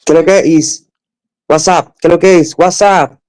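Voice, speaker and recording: Friendly man in halting English. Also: on the high side, steady tone, darkish tone, poor audio. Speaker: video chat stranger. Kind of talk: casual chat.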